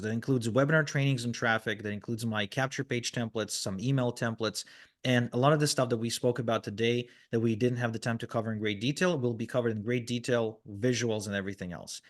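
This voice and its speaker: Male guest, mid pitch, animated storyteller, casual filler-heavy interview chat. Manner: animated storyteller, lots of fillers and acted asides. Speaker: podcast guest.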